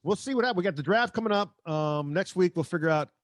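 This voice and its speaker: Animated American man hosting sports talk. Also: high voice, quick pace, no echo, clean studio sound. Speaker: sports podcast host. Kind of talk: casual sports chat.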